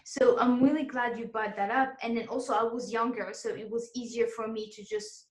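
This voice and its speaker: A woman's voice: fairly high pitch, fairly even intonation, moderate pace, reverberant. A woman hosting an interview in casual chat, whose first languages are Arabic and French, talking in an encouraging way and laughing often. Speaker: interview host and exam tutor.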